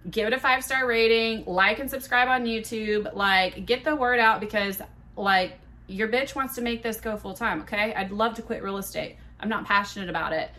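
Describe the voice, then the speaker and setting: A woman in her thirties hosts her own podcast with a big, bright, forward voice. She talks in a loose, chatty way, full of quoted back-and-forth and casual swearing. Her tone stays self-mocking and frank. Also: on the high side, normal speed. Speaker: podcast host. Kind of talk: casual solo podcast storytelling.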